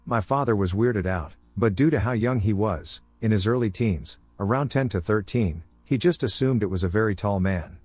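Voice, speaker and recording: A man narrating scary first-person stories in a calm, measured storytelling voice. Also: fairly low pitch, husky, no room sound. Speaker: narrator reading listener stories. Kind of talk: scripted horror story narration.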